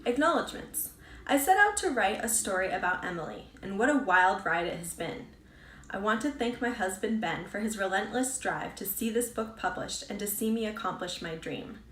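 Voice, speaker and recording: Female narrator for read-aloud fiction, medium pitch, recorded in a roomy space away from the mic. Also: lively intonation, normal speed, fairly bright tone. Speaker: author reading own book aloud. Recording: roomy echo, distant from mic.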